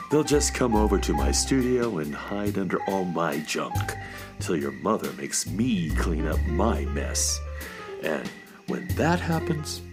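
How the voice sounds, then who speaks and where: A laid-back beatnik cat father played by a man speaks very low and unhurried, with lively rises and falls. He is fatherly and reassuring, in a children's radio play. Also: exaggerated voice. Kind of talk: children's radio theater acting.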